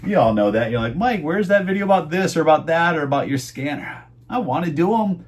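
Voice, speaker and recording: A middle-aged man casually rambling in a home vlog about his reseller sales, chatty and meandering with many fillers, his voice going hoarse. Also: a little high, lively intonation, fairly quick. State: losing his voice. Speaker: eBay reseller vlogger.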